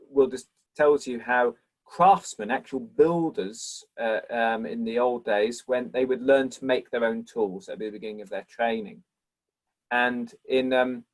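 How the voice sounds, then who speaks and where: A man's voice: medium pitch, poor audio. A man who lectures answers audience questions in an online Q&A, speaking in a thoughtful, appreciative manner. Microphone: video call.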